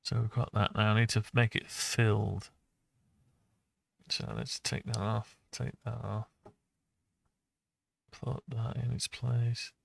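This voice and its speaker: A man thinking aloud and muttering to himself through an unscripted software walkthrough. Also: on the low side, level tone, slow pace, creaky, pauses often, husky. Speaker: hobbyist tutorial maker.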